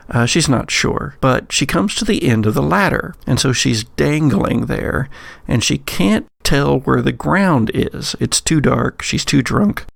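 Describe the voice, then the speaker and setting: A man narrates at an unhurried pace in a gravelly, creaky voice, close and dry. He hosts like a game master telling a spooky tale, with dramatic pauses and wry asides. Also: mid-range voice, no echo. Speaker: podcast host and game master.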